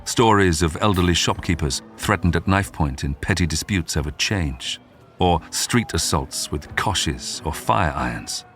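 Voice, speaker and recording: A deep-voiced man narrates a scripted true-crime documentary. His delivery is measured and dramatic, like formal storytelling, with music playing faintly underneath. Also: fairly bright tone.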